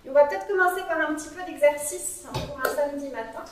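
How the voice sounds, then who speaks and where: A woman's voice: sits high, fast talker, recorded from a distance, a little hiss or hum. A woman psychologist speaking conversationally to a small audience in a cool meeting room, away from the microphone. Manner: conversational, interactive, frequent "euh" fillers. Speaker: psychologist giving a talk. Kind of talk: interactive conference talk. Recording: very echoey room, voice from afar.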